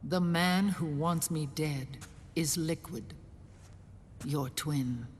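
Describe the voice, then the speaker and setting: An actress playing an older woman who leads a resistance group, speaking in a soft, measured, deliberate way in a game cutscene. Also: deep voice. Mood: solemn. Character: older woman, calm mysterious rebel leader. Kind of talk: video game cutscene acting. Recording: reverberant game cutscene with music.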